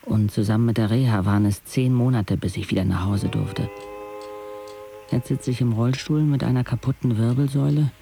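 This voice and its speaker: A man's voice: medium pitch, normal speed, creaky, over music, natural voice. An actor playing a sardonic paralysed teenage boy, narrating his life intimately in a dry, laconic way in a radio drama. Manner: dry, laconic, clipped phrases.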